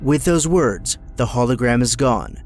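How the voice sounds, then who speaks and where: Man narrating, mid-pitched, music behind. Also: animated, a little slow, a little mellow. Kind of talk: scripted dramatic narration.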